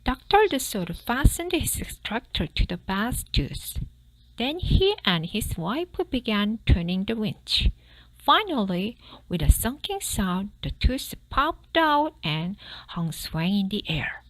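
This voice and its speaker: Woman reading a storybook, slow and lively. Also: medium pitch, dry recording, poor audio. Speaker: storybook reader. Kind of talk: expressive children's read-aloud narration. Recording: background music behind the voice. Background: background music.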